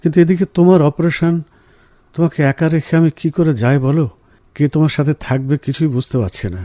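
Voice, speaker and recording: A man playing a caring, reassuring husband in a radio drama, speaking in an earnest, measured way. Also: a little high, warm tone, dry recording, natural voice. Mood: worried. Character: caring, reassuring husband, senior professional, firm but gentle. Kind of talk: Bengali radio drama acting.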